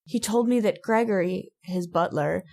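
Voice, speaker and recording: A woman playing a fearful, haunted lady in an old-time radio drama, earnest and halting, pleading as she speaks. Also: mid-range voice, gravelly, a little mellow. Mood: sorrowful confiding.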